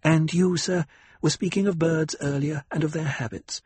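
A poised young noble lady, as a man puts her on, measured and sweetly diplomatic. Her husky tone is pitched a little above his own voice and recorded dry and close. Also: fairly even intonation, darkish tone, no room sound.

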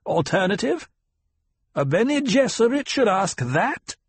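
A man voicing a cruel, demanding old woman leader in an audiobook, sneering and mocking, with a gravelly, husky edge. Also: sits high, sing-song, slow, no echo, voice pushed up, exaggerated voice.

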